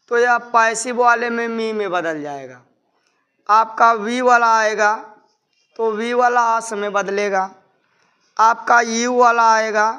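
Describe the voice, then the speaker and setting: A man's voice: very high voice, pushed and bright, clear, resonant tone. A man teaching a grammar lesson, explaining step by step and repeating his points methodically. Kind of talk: explanatory classroom-style lesson.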